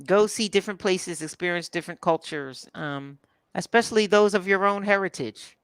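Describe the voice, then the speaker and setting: A woman from Philadelphia chatting casually as a co-host, relaxed and chatty. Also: on the low side, clean tone, no echo. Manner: relaxed, chatty, drawn-out fillers. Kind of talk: casual chat.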